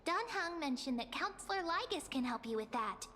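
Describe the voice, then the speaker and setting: A woman's voice: high-pitched, lively intonation, fairly quick, a bit bright. A woman voicing a small, perky, cheeky companion creature in a fantasy video game. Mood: breezy, reassuring. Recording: long reverb, faint background noise.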